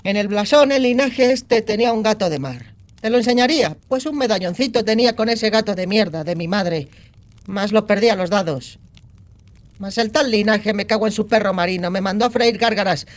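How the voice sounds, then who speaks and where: A woman's voice: mid-range voice, close to an everyday voice. A woman playing a foul-mouthed, cynical young outlaw girl in a fantasy audiobook, talking in a crude, sardonic, slangy way. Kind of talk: acted audiobook dialogue.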